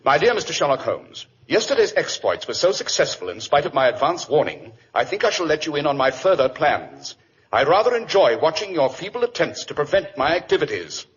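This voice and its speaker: Cool, superior English detective: dry wit, animated intonation, old radio drama, dull lo-fi sound. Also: male voice, fairly high pitch, a little fast, slight background noise. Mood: dryly amused. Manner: urbane, dry, teasing. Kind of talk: 1940s radio acting. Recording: dull vintage radio recording.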